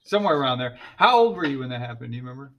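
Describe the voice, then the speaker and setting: Bright-voiced joking male teacher. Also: mid-range voice, sing-song, very fast. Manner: joking, teasing, lots of "like". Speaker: ESL teacher hosting a class podcast. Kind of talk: casual chat. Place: classroom.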